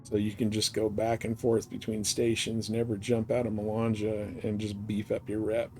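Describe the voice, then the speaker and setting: Low-voiced man streaming a game, background noise. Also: completely flat delivery, normal speed, a little mellow. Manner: rambling, informal, thinking aloud. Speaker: game livestreamer. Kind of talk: casual chat while playing a space game.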